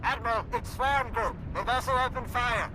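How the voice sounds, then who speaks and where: A man's voice: extremely high pitch, moderate pace, noisy background. A man playing an alarmed fleet crew member in a sci-fi game, reporting urgently.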